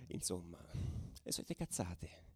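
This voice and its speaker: A man's voice: low voice, very animated, a little slow, husky, dry recording, studio quality, full character voice. A man playing a tough football ultra, telling his story as an intense, colloquial radio monologue. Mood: tense storytelling. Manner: colloquial, intense, swinging from hushed to shouted. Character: tough young male football ultra recounting his exploits.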